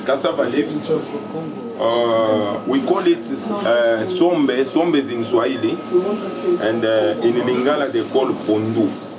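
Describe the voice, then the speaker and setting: Distant man over a loud crowd. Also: mid-range voice, takes it slowly. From Congo. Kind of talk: explaining food.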